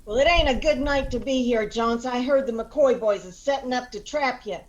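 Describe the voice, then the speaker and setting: An actress playing a watchful, urgent mountain aunt with a folksy Appalachian accent in a radio drama. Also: female voice, a little high, moderate pace, highs cut off, close to an everyday voice. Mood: wary.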